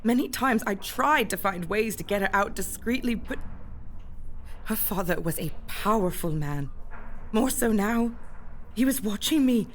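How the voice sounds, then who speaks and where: A woman playing a remorseful, dying mother in an emotional audio drama, pleading and halting between phrases. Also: medium pitch, expressive, slight background noise, natural voice. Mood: haunted.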